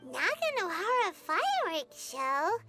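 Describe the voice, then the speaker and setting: A woman voicing a tiny, excitable, childlike fairy companion in a video game, eager and exclamatory. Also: extremely high pitch, unhurried, soft background music, heavily acted. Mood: curious.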